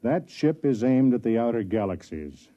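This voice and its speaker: An actor playing a kindly, self-deprecating older man, a senior officer who also narrates, in 1950s radio drama style with a measured, explanatory manner. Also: mid-range voice, very animated, mellow, close to an everyday voice. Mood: grave, explanatory. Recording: dull 1950s radio broadcast, music behind.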